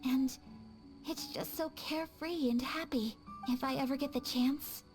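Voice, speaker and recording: Young noble lady in a game, high voice, polite and earnest, formal wording, soft music behind. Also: fairly even intonation. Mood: earnest, tender. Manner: polite, formal, measured phrasing. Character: refined young noblewoman, gentle, sincere and earnest. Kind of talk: video game voice acting.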